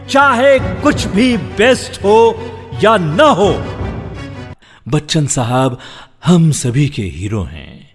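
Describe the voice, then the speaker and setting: A middle-aged man reading scripted voice-over in a measured, dramatic way. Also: mid-range voice, long reverb tail. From India. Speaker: professional voice-over artist. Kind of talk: scripted voice-over for commercials and narration.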